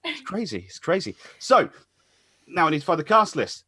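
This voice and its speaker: A man's voice: high voice, huge pitch swings, normal speed, sharp tone, husky, no echo. A man hosting a casual live stream as announcer, chatty and rambling as he introduces things. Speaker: host and announcer. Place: online group call.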